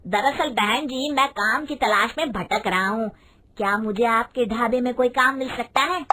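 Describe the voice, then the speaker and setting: A woman's voice: on the high side, normal speed, background noise, natural voice. A woman voicing a small, eager young male helper in a storybook tale, speaking politely and earnestly. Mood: eager, pleading. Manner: pleading, respectful, earnest. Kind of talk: animated Hindi storybook voice acting.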